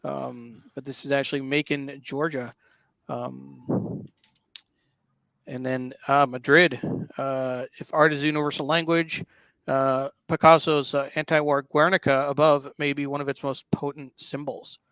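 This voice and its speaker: A man hosting a casual live talk show, explaining what he is showing in a halting, explanatory way. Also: mid-range voice, flat delivery, creaky, a bit bright, clean tone, no echo. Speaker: show host. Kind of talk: casual live talk walking through a newspaper.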